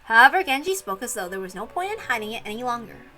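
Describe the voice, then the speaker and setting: A woman's voice: on the high side, very animated, fast, bright, forceful tone, soft background music. A woman reading a visual novel aloud as narrator, breaking into casual, chatty asides.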